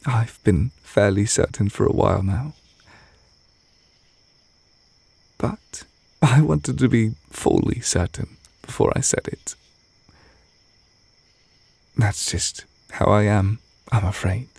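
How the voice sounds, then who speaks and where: A man voicing a tender, reserved witch teacher in a romantic ASMR roleplay, speaking softly and hesitantly, close to the mic with crickets behind. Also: on the low side, gravelly, husky, no echo, full character voice. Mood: loving, earnest. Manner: soft, intimate, hesitant, gently measured. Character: tender, reserved male witch teacher confessing love to partner. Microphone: close microphone.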